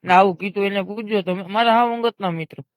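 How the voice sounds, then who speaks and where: A man's voice: high-pitched, fast, no echo. A man in a heated phone conversation, explaining himself insistently and repeating his points. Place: recorded phone call.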